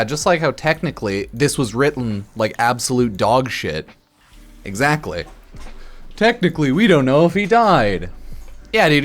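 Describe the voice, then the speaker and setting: Blunt, tipsy man streaming with music behind. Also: mid-range voice. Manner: blunt, sarcastic, heavy swearing. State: a bit drunk. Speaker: game streamer. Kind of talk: casual stream commentary on a game's story.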